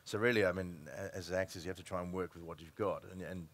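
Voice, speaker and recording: A man, an actor by trade, speaking informally on a live panel, haltingly repeating words as he thinks aloud. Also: low-pitched, fairly even intonation, a bit bright. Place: live event room with an audience. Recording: noisy live room ambience.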